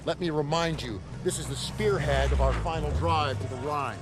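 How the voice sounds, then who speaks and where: An actor playing a stern, demanding army officer in a war game, with a forceful, commanding military delivery. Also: male voice, fairly high pitch, normal speed, over music, lightly acted. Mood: stern, reprimanding. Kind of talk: cinematic war game acting. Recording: noisy battlefield ambience with vehicles.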